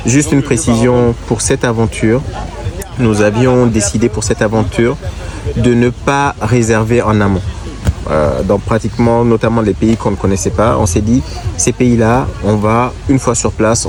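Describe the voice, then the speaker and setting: Low-voiced man with a head cold. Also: darkish tone. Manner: measured, halting, gives advice directly to viewers. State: has a cold, congested voice. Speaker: motorcycle traveler. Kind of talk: casual reflective talk to camera.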